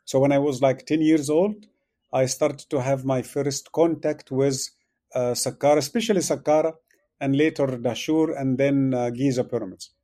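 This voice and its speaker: Egyptian-born guy, warm mellow voice, explaining stuff with lots of uhs. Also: mid-range voice, steady tone, normal speed. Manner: explanatory, with frequent fillers. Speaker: tour guide and author. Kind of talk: interview answers.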